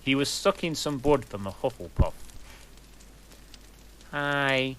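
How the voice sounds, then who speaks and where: A man reading a fanfic aloud in a deadpan way, with sarcastic asides. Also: medium pitch, brisk, a little hiss or hum, low-quality recording. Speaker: reader.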